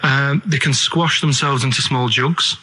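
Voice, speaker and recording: A male radio co-host with a bright, forward voice. He chats casually and rambles along, sounding earnest and puzzled as he poses naive questions. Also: mid-range voice, a little slow, rough recording.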